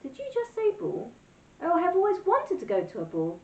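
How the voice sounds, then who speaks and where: A drawling male wolf who stretches his words, voiced by a woman reading aloud, swinging wide in pitch. The reading is heard from a distance in a roomy, dull recording. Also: sing-song, normal speed, close to an everyday voice. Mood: delighted.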